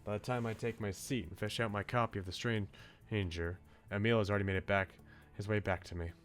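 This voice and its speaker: A man's voice: lowish voice, fairly even intonation, a little fast, faint music behind. A man streaming a visual novel, reading it aloud with casual asides and often stumbling over lines and correcting himself.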